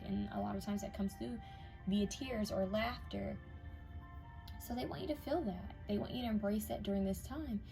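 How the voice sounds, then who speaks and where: Gravelly-voiced woman tarot reader. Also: mid-range voice, poor audio. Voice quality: slightly raspy from many readings. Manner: intuitive, addresses viewers directly, drops to whispers while reading cards. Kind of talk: casual pick-a-card tarot reading.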